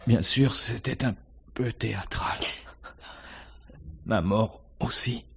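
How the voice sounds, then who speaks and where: An actor playing a dying poisoner and theatrical lover in a radio mystery, in a weak, halting, husky whisper. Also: male voice, on the low side, a bit bright, exaggerated voice. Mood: fading, wry. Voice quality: breathy, husky, gravelly whisper. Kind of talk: French radio mystery drama acting.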